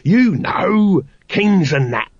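A man's voice: high voice, very animated, slow, slightly rough, no room sound, muffled recording, pitched above the performer's own voice, exaggerated voice. A man voicing a wheedling, whiny male street sausage seller in a storybook reading, with sing-song sales patter. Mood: hawking.

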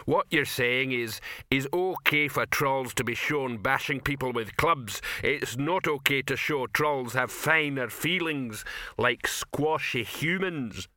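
Man acting a storybook troll, slow and rumbling, touchy, forceful delivery, dry recording. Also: no room sound. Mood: slow, offended. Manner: ponderous, slow to digest things. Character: slow, easily offended male troll made of rock.

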